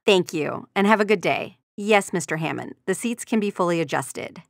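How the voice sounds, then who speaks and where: An actress playing a courteous but dryly sardonic receptionist at a car dealership, delivering scripted video game lines. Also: female voice, on the low side, animated, moderate pace, vocal fry, dry recording, studio quality. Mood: polite, patient. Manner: courteous customer-service formality with sardonic undertone. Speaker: secretary answering customer calls.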